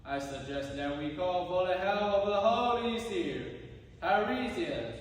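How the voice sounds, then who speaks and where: A man as high-voiced loyal royal counsellor, echoing hall. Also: expressive, a little slow. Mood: dutiful. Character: loyal, measured royal brother-in-law, Creon. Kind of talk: stage reader's theatre. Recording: distant echoey hall, faint music.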